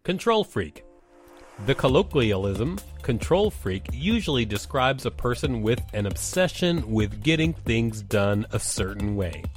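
A man's voice: mid-range voice, big pitch swings, unhurried. Man co-hosting over background music. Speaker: podcast co-host. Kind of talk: reading aloud with casual commentary.

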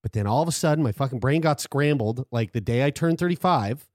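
A man's voice: mid-range voice, expressive, a little fast, a little mellow, no room sound, clean studio sound. Rambling thirty-something man host. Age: 30s. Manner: rambling, restarts, swears casually. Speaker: podcast host. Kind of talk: casual chat.

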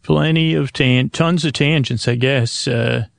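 A man with a creaky, gravelly voice talks listeners toward sleep as a podcast host. He wanders haltingly from tangent to tangent in a soothing, lulling way. The sound is dry and close, with no room around it. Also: medium pitch, dry recording. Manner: meandering, halting, hesitant, tangent-prone. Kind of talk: rambling bedtime sleep podcast monologue.

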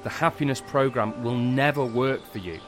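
An actor playing a guilt-ridden scientist, speaking haltingly and gravely in a science fiction audio drama. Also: male voice, medium pitch, close to an everyday voice. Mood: confessing. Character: guilt-ridden male scientist confessing a secret. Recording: music under the dialogue.

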